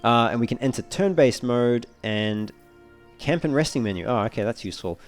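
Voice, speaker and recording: A man's voice: mid-range voice, lively intonation, brisk, soft background music. A man giving casual commentary on a video game walkthrough, thinking aloud as he reads through menus. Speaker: let's player.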